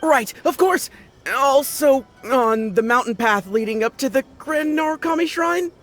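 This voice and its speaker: An actor playing a flustered, excitable, stammering gang sidekick in a video game. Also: sits high, measured pace. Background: music.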